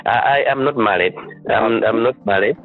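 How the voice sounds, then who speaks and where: A man's voice: medium pitch, normal speed. A young man in his twenties with a Malawian accent, answering interview questions as a student leader, often stalling and repeating words as he restarts his sentences. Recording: tinny phone-like line, faint music.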